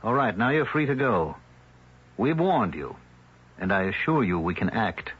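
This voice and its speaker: An actor playing a smooth, threatening village leader in an old radio drama, with a husky voice and a mocking manner. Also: male voice, on the low side, big pitch swings, normal speed. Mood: menacing. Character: cold menacing male village leader. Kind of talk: 1970s radio drama acting. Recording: dull old radio broadcast.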